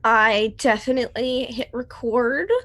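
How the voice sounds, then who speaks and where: A woman's voice: a little high, steady tone, measured pace, sharp tone. A woman playing a tabletop roleplaying game, chatting casually in a playful way.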